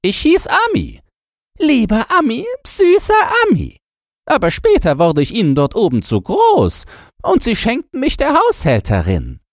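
Shrill, yapping old dog. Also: male voice, huge pitch swings, measured pace, dry recording, clean studio sound, full character voice. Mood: wistful, nostalgic. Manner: knowing, exclamatory, barking. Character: old chained yard dog, boastful, barking, nostalgic.

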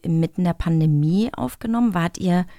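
A woman's voice: on the low side, fairly quick, dark tone, dry recording, clean studio sound. A woman co-hosting a podcast interview, asking her questions in long strings. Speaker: podcast co-host. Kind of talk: casual interview chat.

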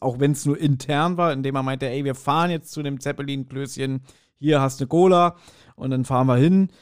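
Casual man, mid-pitched podcast co-host. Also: darkish tone, clear, resonant tone. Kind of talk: casual review chat about an audio drama.

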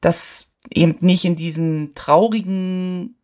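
A German woman who lives in China speaks as a guest, her voice a little on the low side. She tells things in a lively, rambling way, full of filler words. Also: slow. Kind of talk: casual conversation. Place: China, living abroad.

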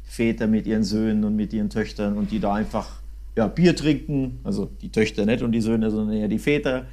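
A man's voice: on the low side, fairly even intonation, fairly quick, warm tone. Enthusiastic man chatting about football. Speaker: football podcast co-host. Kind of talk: casual football chat, recounting a trip.

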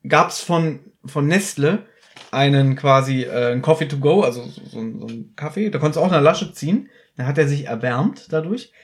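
A man with a voice a little on the high side talks casually as a podcast co-host. He banters and tends to challenge the others with teasing questions. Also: animated, normal speed. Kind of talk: casual fan discussion of an audio drama.

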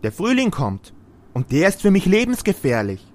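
A man's voice: sits high, huge pitch swings, moderate pace. A man playing a friendly, naive snowman in a fairy-tale radio play, with earnest, formal phrasing.

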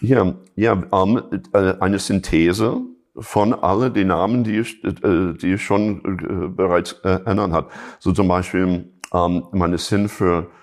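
A middle-aged man from Chicago with an American accent, speaking German in an interview with many pauses and restarts. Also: low-pitched, steady tone. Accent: American English. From Chicago, USA. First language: English. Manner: hesitant, many fillers and restarts. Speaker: jazz musician and teacher, guest. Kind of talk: casual interview talk.

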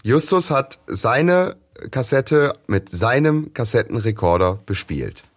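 A man's voice: mid-range voice, big pitch swings, slow, breathy edge. A man co-hosting a fan podcast, explaining a story's plot step by step in casual discussion. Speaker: podcast co-host.

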